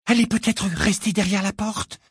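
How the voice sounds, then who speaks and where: A man puts on an earnest teenage schoolgirl for a storybook. The pitch is lifted well up, and the delivery is lively, urgent and insistent. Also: moderate pace, no room sound, studio quality. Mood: urgent whispering.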